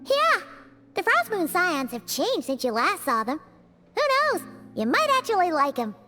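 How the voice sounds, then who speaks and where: A very high, squeaky little fairy, chirpy and chatty, with exclamations that swoop up and down. It is a childlike anime game companion, excitable and eager. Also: female voice, moderate pace, music in the background. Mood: chatty, reassuring. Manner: refers to self in third person, exclamatory.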